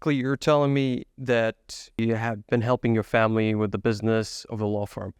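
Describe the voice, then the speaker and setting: A man's voice: on the low side, level tone, moderate pace, clean tone, dry recording, studio quality. A man hosting a podcast, asking his guest plain, direct questions. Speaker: podcast host.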